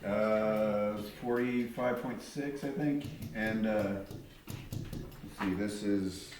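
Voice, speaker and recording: A man's voice: lowish voice, steady tone, slow pace. A man teaching a geometry lesson to a class, explaining step by step and asking students questions. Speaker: math teacher. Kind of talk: classroom instruction. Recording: echoey classroom with faint noise.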